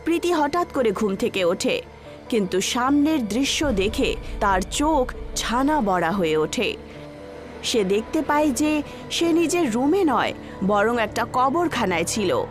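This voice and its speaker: A woman's voice: fairly high pitch, big pitch swings, a little slow, music in the background. A woman narrator reading a scripted horror story.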